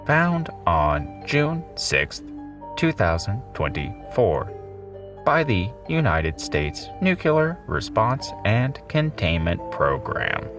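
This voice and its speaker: A man reading scripted dramatic story narration in a measured, solemn and deliberate manner. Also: fairly low pitch, very animated, recorded from a distance, over music. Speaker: audiobook narrator.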